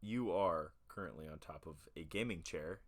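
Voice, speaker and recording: A man's voice: low-pitched, moderate pace. A man hosting his own online music show from home, chatting casually and rambling with lots of fillers. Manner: rambling, relaxed, with many fillers. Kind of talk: casual chat. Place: home room with a gaming chair. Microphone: laptop setup with a homemade camera mount.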